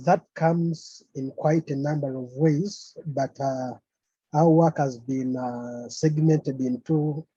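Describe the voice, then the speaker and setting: A man from Uganda presents over a video call, pausing often with fillers. His voice is very dark and husky. The audio is dry and dull, like a lo-fi call. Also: on the high side, no echo. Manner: hesitant, many fillers. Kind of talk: online conference presentation.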